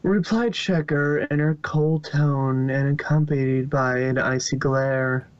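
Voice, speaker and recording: Male story narrator, amateur fan fiction reading, mid-pitched, dry and dull lo-fi recording. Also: fairly even intonation, takes it slowly, clear, resonant tone, dry recording.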